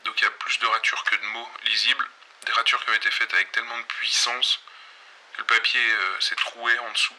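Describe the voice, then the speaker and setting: A man playing an irreverent, weary pirate radio host in an audio fiction, talking in an offhand, sardonic, halting and slangy late-night monologue. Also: medium pitch, flat delivery, sharp tone, low-quality recording. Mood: hurried, offhand.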